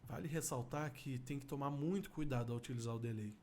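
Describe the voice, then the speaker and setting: A middle-aged Brazilian man explains things step by step in an informal software tutorial. His voice sits at an average pitch, recorded in a small room with faint background noise. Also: fast. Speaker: audio effects instructor.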